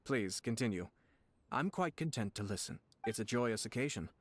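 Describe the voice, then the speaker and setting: A man's voice: mid-range voice, expressive, natural voice. An actor playing a shrewd, courteous young nobleman in a video game, with formal, diplomatic delivery. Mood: gracious. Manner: formal, measured diplomatic phrasing.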